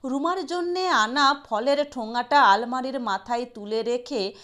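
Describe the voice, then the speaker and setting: A woman's voice: sits high, measured pace, fairly bright tone. A woman narrating a literary short story expressively as a storyteller.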